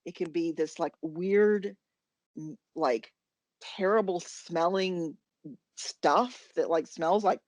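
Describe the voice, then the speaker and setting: Casual American woman co-host, low-key and dry-sounding. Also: slow, darkish tone, no echo. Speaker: podcast co-host. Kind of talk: casual knitting podcast chat.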